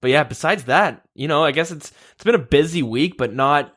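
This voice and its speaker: A young man hosting a podcast in a relaxed way, leading the talk and reading out news. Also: on the high side, fairly quick. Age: young adult. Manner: steady, runs the show and leads topics. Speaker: podcast host. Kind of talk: casual chat, reading news. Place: shared room, two mics.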